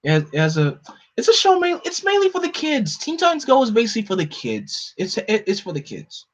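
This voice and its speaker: A man hosting a casual live stream, talking in an opinionated, animated way that jumps up in pitch when he gets excited. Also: a little fast. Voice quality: no regional accent. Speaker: streamer host. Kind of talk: casual chat to a live audience. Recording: dull lo-fi home stream audio.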